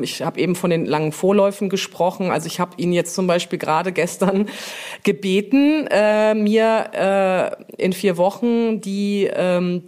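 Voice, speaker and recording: Woman, lowish voice, chatty interview guest explaining stuff, informal. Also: a little mellow. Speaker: brand manager being interviewed on a podcast. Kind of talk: casual interview talk, explanatory.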